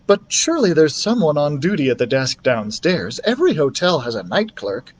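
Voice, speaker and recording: A man's voice: a little high, big pitch swings, normal speed, slight background noise. A man voicing a worried, soothing mother in a children's storybook reading. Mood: concerned.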